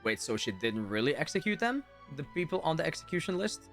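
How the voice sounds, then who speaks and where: A man livestreaming a game, chatting casually with viewers and thinking out loud as he reacts. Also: a little high, very animated, fast talker, faint music behind. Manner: chatty, reads chat aloud, thinks out loud. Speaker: game streamer.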